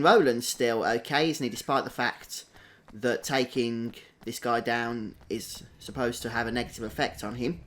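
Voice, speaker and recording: A man's voice: medium pitch, fairly bright tone. A man giving casual, chatty gameplay commentary, thinking aloud as a streamer doing a walkthrough.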